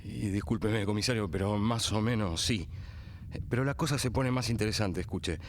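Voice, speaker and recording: Man acting a lowish-voiced, deferential Argentine policeman. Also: normal speed, close to an everyday voice. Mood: deferential reporting. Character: subordinate policeman, dutiful, apologetic, plodding reporter. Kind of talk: radio drama acting.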